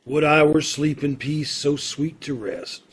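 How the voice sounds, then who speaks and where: A man playing a condemned prisoner in a radio play, tender and quietly brave, speaking with hesitation. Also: a little high, slight background noise, natural voice. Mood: solemn, resolute. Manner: hesitant, halting delivery. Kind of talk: old-time radio play acting. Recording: home video-call recording with music.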